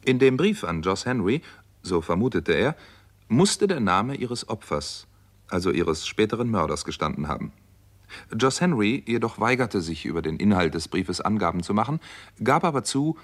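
An actor playing a composed, resolute doctor who narrates a radio crime story in a measured, matter-of-fact way. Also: male voice, fairly low pitch, sing-song. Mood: measured narration. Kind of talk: scripted first-person crime drama narration.

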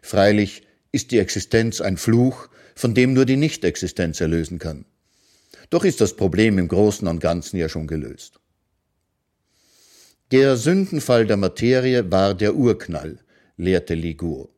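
A man reading his own philosophical short prose aloud in a calm, measured way, as a radio literary reading. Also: lowish voice.

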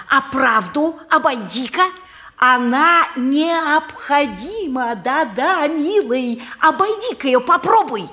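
A woman's voice: high voice, a bit bright, heavily acted. An actress playing a sly, teasing woman who preaches in a sing-song, folksy way in a 1950s Russian stage drama.

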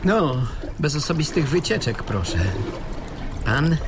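Zrzędliwy rozbitek, dość wysoki głos, chlupot wody. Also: male voice, a little high, sing-song, measured pace, noisy background. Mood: wry. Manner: bickering, exclamatory, correcting. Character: plane-crash survivor adrift at sea, pedantic, grumbling, touchy man. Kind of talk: Polish radio play dialogue. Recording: loud splashing water sound effects behind. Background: sea water sounds.

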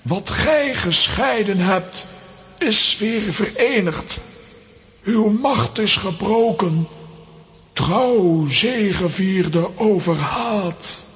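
A man's voice: sits high, expressive, drawn-out words, fairly bright tone, exaggerated voice. An actor playing a noble, lovelorn playing-card king in a children's audio play, with stately, old-fashioned diction. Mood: solemn, triumphant. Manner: solemn, stately, archaic diction. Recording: long reverberant hall.

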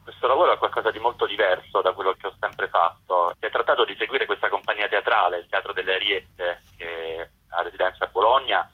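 A man's voice: low voice, fairly quick, hardly any pauses, slightly rough. A man answering interview questions as a film director over the phone on a radio programme. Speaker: documentary director being interviewed. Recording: narrow, tinny phone line.

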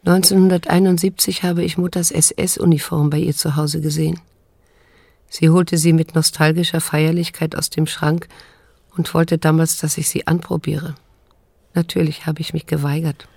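Sixty-year-old estranged daughter, guarded and measured, lowish husky voice. Radio drama dialogue and narration. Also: female voice, little pitch movement, darkish tone, no room sound, studio quality, full character voice. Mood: reflective, restrained. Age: 60s. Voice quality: husky, low, creaky. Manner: measured, restrained, hesitant.

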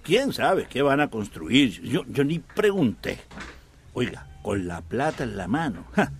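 A hopeful, excitable father talking on the phone in a radio serial. His pitch swings widely while his speech moves slowly, with pauses for the unheard other side. He sounds middle-aged. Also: vocal fry, a little hiss or hum. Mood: excited, evasive. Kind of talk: radio drama acting.

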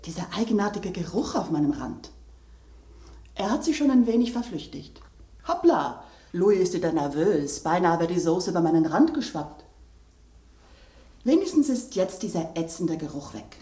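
An actress in a German radio drama playing a fussy, gossiping sauce boat who speaks in a deliberate, precise, confiding manner. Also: female voice, on the low side, lively intonation, warm tone, echoey room, close to an everyday voice.

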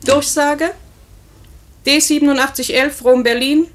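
A fairly high voice of an airline secretary. She is brisk and matter-of-fact with a slightly sardonic edge, in 1950s radio acting style. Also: steady tone. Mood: businesslike. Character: brisk, dry female office secretary at an airline.

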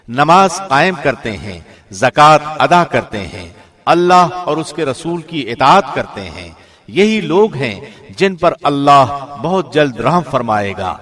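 Higher-voiced man reading scripture translation formally. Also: fairly bright tone. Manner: formal, deliberate enunciation. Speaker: translation reader, radio presenter.

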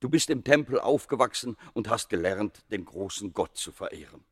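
A man's voice: lowish voice, animated, moderate pace, breathy edge, dry recording, studio quality. An actor playing a stern, devout temple priest and father, solemn and admonishing in a German radio play. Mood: solemn warning.

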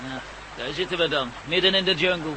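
A man's voice: fairly high pitch, fast, close to an everyday voice. A man acting a sarcastic, complaining expedition companion in a Dutch radio drama, with a mocking manner. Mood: wry. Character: grumbling, sarcastic male travel companion, hungry and weary. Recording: noisy old radio play recording.

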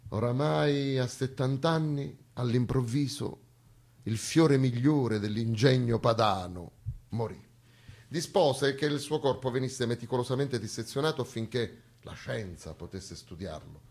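A stage actor delivers a satirical monologue in a declamatory, mock-solemn manner. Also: male voice, medium pitch, measured pace, a little mellow.

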